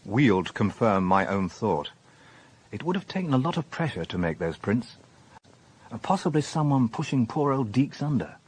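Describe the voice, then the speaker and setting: An actor playing a methodical, courteous police inspector in a radio drama, measured and polite as he narrates and questions. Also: mid-range voice, sing-song, a little mellow, a little hiss or hum, lightly acted, poor audio. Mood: reflective. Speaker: narrator and lead detective.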